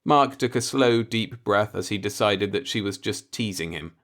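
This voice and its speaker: A man's voice: lowish voice, expressive, normal speed, a bit bright. A man reading scripted narration for a science-fiction audiobook.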